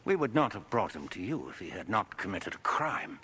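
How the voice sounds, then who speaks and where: Sharp, stern male accuser. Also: on the low side, very animated, brisk, slightly rough, heavily acted. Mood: stern, indignant. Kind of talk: dramatic film acting.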